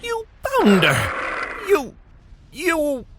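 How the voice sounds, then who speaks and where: A woman's voice: sits high, wildly swooping pitch, very slow, noisy background, full character voice. A woman playing a fussy, melodramatic male gourmet who presides as judge in an amateur radio play. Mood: indignant. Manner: melodramatic, indignant.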